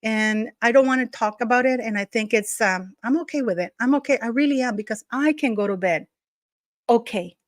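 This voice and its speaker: A woman's voice: fairly high pitch, brisk, no echo, studio quality. A woman with a non-native English accent gives a scornful, gossipy commentary at home, sometimes swearing. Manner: scornful, gossipy, emphatic, drops curse words. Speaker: YouTube commentator. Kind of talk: casual ranting commentary.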